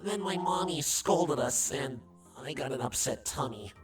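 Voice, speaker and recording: Woman voicing a guileless little creature boy, lively pitch. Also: measured pace, distant mic, over music. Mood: awkward, innocent. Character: proud, guileless young changeling schoolboy, cheerfully morbid. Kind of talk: fan audio drama acting.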